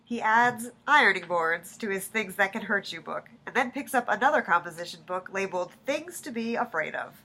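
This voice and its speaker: A woman with a bright, mid-pitched voice reads stage directions. Her delivery is scripted narration for a staged play reading. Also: lively intonation, brisk, few pauses, low-quality recording.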